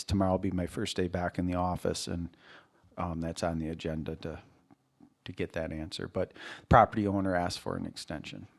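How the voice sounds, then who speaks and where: A man with a very deep, gravelly voice reports to a council. His delivery is flat and halting, broken up by frequent ums. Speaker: village official. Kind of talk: giving an update at a meeting.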